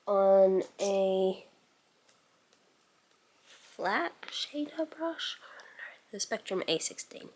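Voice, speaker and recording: A woman giving a casual makeup tutorial as a beauty vlogger, musing aloud as she works and letting sentences trail off. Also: on the high side.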